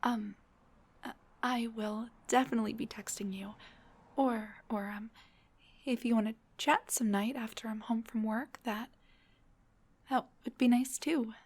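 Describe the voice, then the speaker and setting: A woman playing a shy, sweet, flirty dullahan on a date, soft, breathy and hesitant, in an intimate audio roleplay. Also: a little high, steady tone, unhurried, pauses often. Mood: flustered, grateful.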